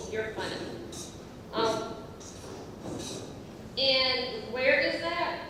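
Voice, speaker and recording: A woman acting in an amateur stage comedy as a giggly character who tells rambling jokes in a halting way. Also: fairly high pitch, lively intonation. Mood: amused. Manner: halting, slow, rambling explanations. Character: giggly woman slowly telling a rambling joke. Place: theatre stage, picked up from afar. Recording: distant, echoey theatre hall, faint noise.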